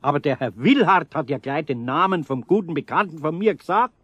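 A man's voice: a little high, expressive, brisk, a bit bright, no room sound, muffled recording. An older man with a Bavarian accent playing an easygoing, homely master carpenter in a children's radio play, placating and a little hesitant.

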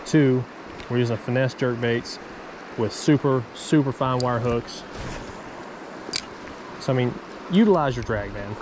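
Young male outdoor vlogger, average pitch, laid-back slangy chat, noticeable water noise on the recording. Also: unhurried, long pauses. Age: young adult. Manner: informal, slangy bro talk. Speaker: fishing vlogger. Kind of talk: casual vlog chat to camera. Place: outdoors beside a creek.

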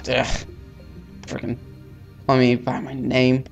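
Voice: mid-range voice, completely flat delivery, takes it slowly, gravelly, faint music behind. A let's player reading visual novel text aloud in an informal way, stumbling over words and dropping in casual asides.

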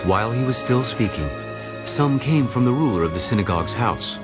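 A man narrating a dramatized audio Bible in a solemn, deliberate storytelling manner. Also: mid-range voice, animated, loud music behind the voice.

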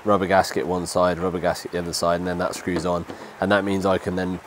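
Man, thirties, bunged up with a cold, lowish voice, faint rain behind. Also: quick pace. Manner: relaxed, explains step by step while working. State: stuffy nose from a cold. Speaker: DIY vlogger. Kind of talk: casual how-to walkthrough. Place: outdoors under a shelter in the rain. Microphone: lapel mic. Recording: light rain noise behind.

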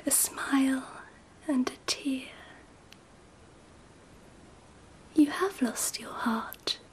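An actress playing a gracious, teasing and wistful Empress in a radio drama, speaking softly and often close to a whisper, in a gentle, measured way. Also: female voice, high voice. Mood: tender, wistful. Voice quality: soft, breathy, often near whisper. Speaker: actor.

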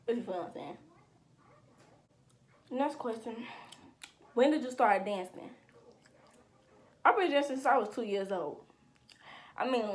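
A woman's voice: mid-range voice, slight background noise. Chatty eighteen-year-old woman vlogger. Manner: chatty, slangy, animated with hand gestures. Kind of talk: casual Q&A chat. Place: small room at home, indoors.

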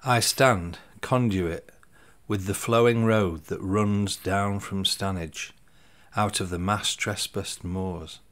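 A man reading his own poems in a measured, deliberate way, pausing between phrases. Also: low-pitched, fairly even intonation. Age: 50s. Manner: deliberate, pausing between short phrases, voice dropping at line ends. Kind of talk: slow, measured poetry reading. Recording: soft music underneath.

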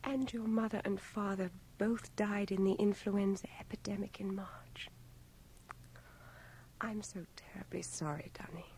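An actress playing a tender, teasing young English volunteer nurse in a radio drama, poised and brisk. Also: female voice, mid-range voice, steady tone, lightly acted. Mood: gentle, sorrowful. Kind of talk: 1980 radio drama acting.

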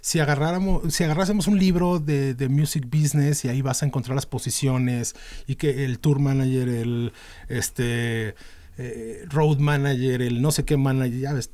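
A middle-aged man with a Mexican accent talks at an ordinary pitch, explaining things at length and filling gaps with fillers and restarts. He speaks into a studio mic in a small podcast studio. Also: fairly even intonation, moderate pace, warm tone. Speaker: band manager, podcast guest. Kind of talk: casual interview talk. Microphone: studio mic on a boom arm.

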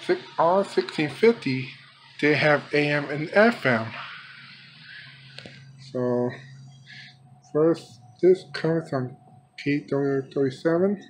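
A man giving an unscripted showcase of his collection in halting, fragmented phrases, recorded on a handheld camera in a room. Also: on the high side. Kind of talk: unscripted product showcase vlog. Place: small room by a window. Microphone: handheld camera, speaker off screen. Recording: background noise, homemade handheld camera audio.

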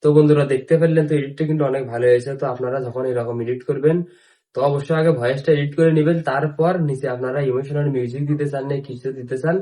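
A man's voice: medium pitch, fairly even intonation, racing, mellow, slight background noise. A man hosting a casual step-by-step app tutorial, with repetitive, instructional phrasing. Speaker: tutorial host.

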